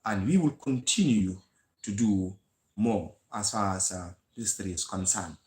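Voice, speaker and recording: A man from the Gambia with a Gambian English accent, hosting a live history talk in an earnest lecturing way, with frequent pauses and restarts. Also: fairly low pitch. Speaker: host of a history channel. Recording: echoey room sound.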